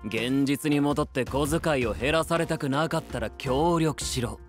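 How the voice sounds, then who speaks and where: An actor playing an arrogant, commanding young strategist in an anime voice drama. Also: male voice, medium pitch, measured pace, faint music behind, lightly acted. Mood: haughty scheming. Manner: haughty, commanding. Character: arrogant, ruthless young warlord strategist commanding his squad.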